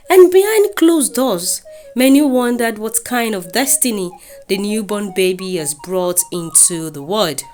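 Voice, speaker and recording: A woman's voice: on the high side, a little mellow. A woman with a Nigerian accent narrating a scripted folktale in a dramatic storytelling style. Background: music.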